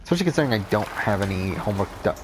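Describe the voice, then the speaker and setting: A young man with a cold, rambling through casual gameplay commentary, talking off the top of his head with a deep, unclear voice and frequent swearing. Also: big pitch swings, rapid-fire, background noise. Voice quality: deep, not clear. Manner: rambling, unscripted, says whatever comes to mind, swears often. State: has a cold. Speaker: Let's Play commentator.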